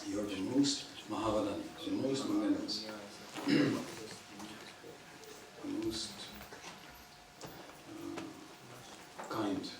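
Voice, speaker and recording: A man giving a devotional lecture in English, which is not his first language, speaking haltingly with frequent throat clearing. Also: lowish voice, lively intonation, noisy background. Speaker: visiting preacher. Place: temple hall. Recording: echoey temple hall, mic at a distance, audience heard.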